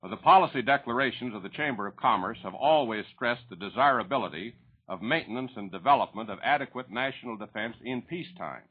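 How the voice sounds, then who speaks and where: Husky formal American man, tinny line. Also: mid-range voice, lively intonation. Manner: measured, formal. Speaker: banker interviewed as business spokesman. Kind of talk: formal, prepared-sounding statements. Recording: tinny narrowband 1940s radio line.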